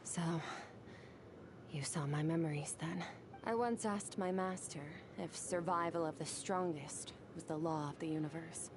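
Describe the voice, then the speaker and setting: A woman voicing a reserved young swordswoman in a video game, speaking in a quiet, measured way that often drops to a half-whisper. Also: deep voice, background noise. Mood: somber.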